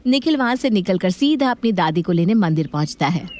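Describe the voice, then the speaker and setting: A woman's voice: medium pitch, animated, a little fast, a little mellow, a little hiss or hum. A woman narrator delivering scripted story narration.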